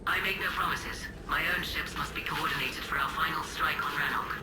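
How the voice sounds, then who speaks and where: An actress playing a coolly sardonic alien fleet admiral in a video game, formal and condescending, through a radio filter. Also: female voice, low-pitched, a little fast, roomy sound, far from the mic, over music. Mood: dry, disdainful. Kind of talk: video game cutscene acting.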